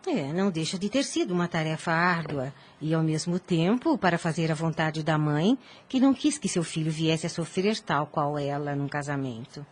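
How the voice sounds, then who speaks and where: Woman acting as a deep-voiced, courteous mother. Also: lively intonation, normal speed, hardly any pauses. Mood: sympathetic, curious. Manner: polite, composed, formal. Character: courteous, gracious mature mother. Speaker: voice actor. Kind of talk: audio drama acting.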